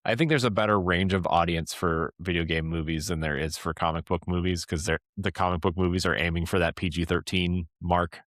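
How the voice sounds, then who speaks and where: Man, low-pitched, podcast host, casual discussion, rambling, frequent check-ins, dry close sound. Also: fairly even intonation, a little fast, hardly any pauses, fairly bright tone, no echo.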